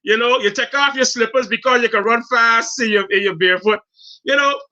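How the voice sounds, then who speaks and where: A man in his fifties from Guyana with a Guyanese accent, telling stories in an animated, heartfelt way as a guest on a casual talk show, often restarting his sentences. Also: very high-pitched, brisk, lo-fi sound. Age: 50s.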